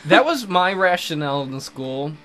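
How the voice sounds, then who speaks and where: A man's voice: on the high side, big pitch swings, normal speed. A man hosting a let's play with casual, teasing, sarcastic commentary. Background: soft guitar music.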